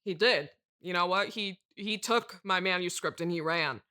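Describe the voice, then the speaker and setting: Man playing a bright-voiced, prickly horror novelist. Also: sits high, normal speed, clean tone. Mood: irritable, defensive. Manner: curt, deadpan, haughty. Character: prickly, self-important female horror novelist, darkly intense. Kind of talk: improvised comedy podcast character.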